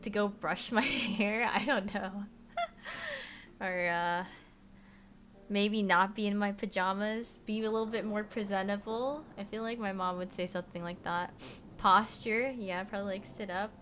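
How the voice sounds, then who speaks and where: A young woman born in Korea, chatting casually to stream viewers from her bedroom, rambling with lots of "like" and "you know" and trailing off softly. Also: mid-range voice, animated, unhurried, slight background noise. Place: bedroom at home.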